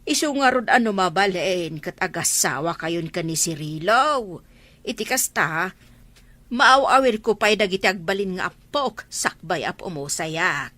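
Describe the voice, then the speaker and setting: A woman as a pleading, animated old Ilocano mother. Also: fairly low pitch, measured pace, no room sound. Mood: insistent, pleading. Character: pleading, insistent old mother wanting grandchildren. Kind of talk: Ilocano radio-style drama acting.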